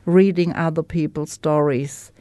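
Slow, low-voiced woman with German accent. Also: steady tone, dark tone, no room sound. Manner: candid, reflective, searching for words. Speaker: guest sharing her recovery story. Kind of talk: personal testimony in an interview.

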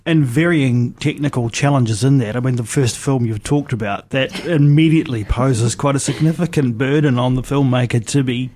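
A man hosting a radio morning show, asking a guest questions in a measured, friendly way. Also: mid-range voice, a little mellow. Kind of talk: radio interview.

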